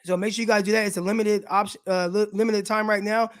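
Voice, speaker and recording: A man with a raspy voice hosting a casual live sports show, talking loosely and with slang straight to his viewers. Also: high voice, little pitch movement, fast talker, dry recording, clean studio sound. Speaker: sports podcast host.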